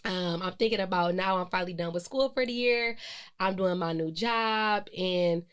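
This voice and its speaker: A woman's voice: lowish voice, moderate pace, clear, resonant tone. A woman, hosting a solo podcast in a chatty, reflective way, with many fillers and self-corrections. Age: 20s. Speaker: podcast host. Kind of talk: casual solo podcast monologue.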